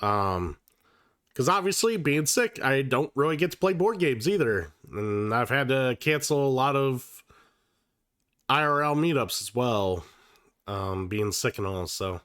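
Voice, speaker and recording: A man's voice: medium pitch, expressive, measured pace, a bit bright, clean tone, dry recording, studio quality. Sick, nasal-sounding man streaming casually. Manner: casual and profane, with many fillers and restarts. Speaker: livestream host. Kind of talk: casual livestream chat.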